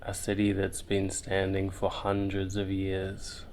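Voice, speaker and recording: Man, deep voice, monotone, soothing guided meditation, long pauses. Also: a little hiss or hum. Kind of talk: guided visualization, meditative narration.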